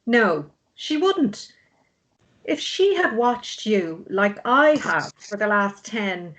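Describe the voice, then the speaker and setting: Woman playing a slow, earnest, insistent confidante. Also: mid-range voice, animated, a bit bright, rough recording. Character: caring, insistent confidante urging a man onward. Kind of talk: drama table read.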